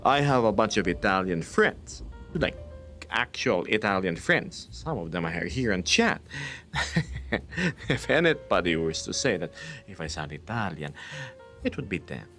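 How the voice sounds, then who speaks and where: A low-pitched man hosts a casual live stream with a teasing, playful manner. His delivery swings widely up and down, and he laughs often. Faint music plays behind him. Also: sing-song, measured pace, pauses often, a bit bright. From Philippines. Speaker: livestreamer. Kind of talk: casual livestream chat with viewers.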